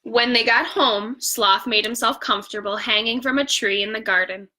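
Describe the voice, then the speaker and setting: A woman reads a children's bedtime story in a fairly high, bright voice. She takes her time, stretching key words for emphasis and speaking directly to her young audience. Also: normal speed, slight background noise. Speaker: picture-book reader. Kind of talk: bedtime story read-aloud with asides to viewers.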